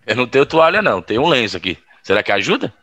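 Casting: actor as ironic, easygoing male colleague for audio drama, medium pitch. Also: sing-song, brisk, pushed and bright. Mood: wry. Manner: casual, chuckling, ironic. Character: amused, wry male campaign colleague.

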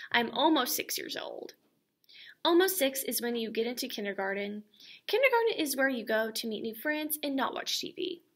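A young woman teacher reads a storybook aloud to young children, lively and expressive. Her voice is bright and a little high. It is picked up by a laptop webcam in a classroom. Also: husky. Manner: expressive and animated. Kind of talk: children's book read-aloud. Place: school classroom, indoors.

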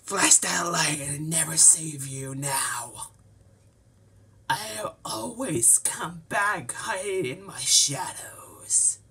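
A woman's voice: deep voice, extremely slow, pushed and bright, slightly rough. A woman performing home-made character lines in an exaggerated, theatrical way, recorded on a distant microphone. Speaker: amateur video creator voicing toy characters. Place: indoor room at a desk.

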